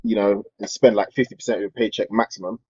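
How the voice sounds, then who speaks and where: A man's voice: lowish voice, steady tone, fast, warm tone, breathy edge, no echo. A young man who explains his ideas at length in casual podcast chat, stammering as he starts. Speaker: podcast co-host. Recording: dull lo-fi dry sound.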